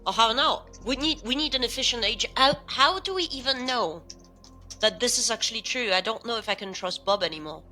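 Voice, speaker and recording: A woman's voice: on the high side, expressive, fairly quick, bright, forceful tone, faint music behind. A woman playing an insistent, distrustful operations officer in an improvised sci-fi audio drama, complaining in exasperation. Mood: exasperated.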